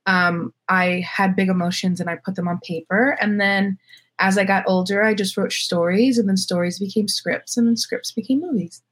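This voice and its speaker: A woman's voice: medium pitch, fairly even intonation, normal speed, slightly rough. A young adult woman from Florida with an American accent, talking casually and candidly as an interview guest. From Florida, United States. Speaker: guest, filmmaker.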